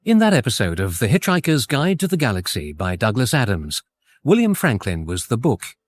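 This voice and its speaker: A British male announcer reads programme credits and mock notices from a script. His voice is mid-pitched with lively inflection, in a dry studio recording. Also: normal speed, no echo. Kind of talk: scripted, tongue-in-cheek radio announcement.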